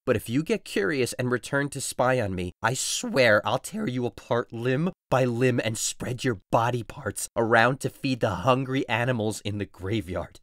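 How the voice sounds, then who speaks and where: A dry, closely recorded voice of a desperate young husband played by a male actor in an audio drama of modernized Shakespeare. He is intense and grief-stricken, moving between hushed menace and anguished outcry at a medium pitch. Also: lively intonation, normal speed, hardly any pauses, no room sound, lightly acted. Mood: commanding, menacing.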